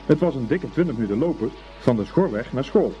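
A man narrating a scripted story for a Dutch radio play in an expressive, unhurried storytelling manner. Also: mid-range voice. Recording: dull old radio recording, faint music.

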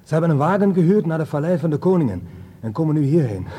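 A man's voice: fairly high pitch, animated, fast talker, dark tone, breathy edge. An actor playing an eager, deferential henchman reporting to his boss in a radio drama. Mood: pleased, eager. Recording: cavernous long reverb.

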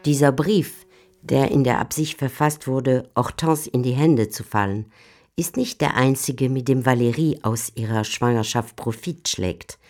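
A woman's voice: extremely low pitch, unhurried, darkish tone. A woman giving composed, articulate scripted narration for a literary radio drama.

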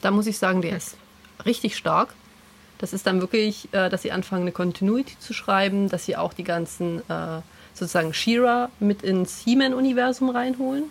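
A woman with a medium-pitched, husky voice chats as a podcast co-host. Her delivery is informal and conversational, like someone reminiscing among friends. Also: fairly even intonation, a little fast. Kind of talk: casual nostalgic podcast chat.

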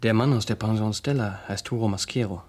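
An actor playing a dutiful, matter-of-fact police inspector in a radio crime drama, official and obliging. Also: male voice, fairly low pitch, brisk, a little mellow, natural voice. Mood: businesslike.

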